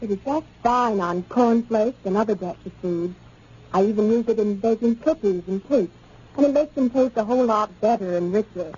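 A woman's voice: mid-range voice, moderate pace, mellow, slightly rough, faint music behind, close to an everyday voice. A woman playing a grateful mother reading a scripted testimonial for a 1930s radio advertisement. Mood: earnest. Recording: tinny phone-like 1930s radio recording.

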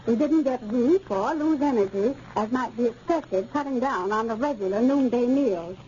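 American lady reading stiff old radio ad testimonial, mellow tone, muffled recording. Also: fairly high pitch, moderate pace, slight background noise.

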